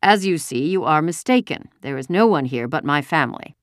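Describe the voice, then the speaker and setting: Woman as guarded, steady father. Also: deep voice, brisk, no room sound, clean studio sound. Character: steady, guarded Danish father shielding his family. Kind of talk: audiobook character voice.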